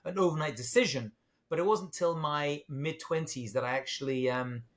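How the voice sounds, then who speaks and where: Man, London accent, middle-aged, higher-pitched, chatty with fillers. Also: moderate pace. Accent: British English, London. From England. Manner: self-deprecating, jokey, with fillers. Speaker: rabbi, guest. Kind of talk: casual interview talk.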